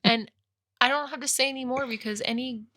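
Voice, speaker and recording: A woman's voice: sits high, steady tone, fairly quick, a crowd in the background. A woman in her thirties co-hosting a casual podcast, talking bluntly into a podcast microphone, teasing her partner and swearing freely. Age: 30s. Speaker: podcast co-host. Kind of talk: casual chat.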